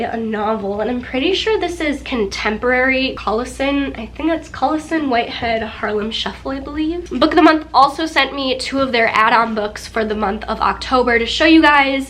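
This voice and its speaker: A woman's voice: a little high, fairly bright tone, a little hiss or hum. Chatty young woman with a stuffy nose. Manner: chatty, gushing, fillers like "like". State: nasal from a recent cold. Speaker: book vlogger. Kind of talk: casual sponsored book chat.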